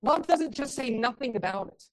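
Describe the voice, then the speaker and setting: Woman pastor, fairly high voice, loud and impassioned in the pulpit, hits emphatic peaks. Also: lively intonation, moderate pace. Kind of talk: sermon.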